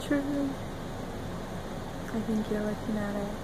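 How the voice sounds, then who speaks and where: A young woman talking to her phone camera in a small room, rambling dreamily and giggling, jumping between loud bursts and soft murmurs. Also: mid-range voice, measured pace, very noisy. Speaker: vlogger. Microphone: phone camera at arm's length.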